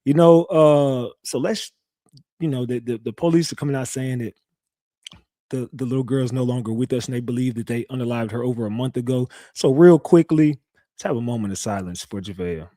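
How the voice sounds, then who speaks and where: A young man with a slightly raspy, recovering voice, hosting a casual live stream and talking loosely to his chat with slang and lots of repeats. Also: medium pitch, fairly quick, mellow, no echo, clean studio sound. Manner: loose, repetitive, talks straight to chat with slang. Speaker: live-stream true crime host. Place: home streaming setup.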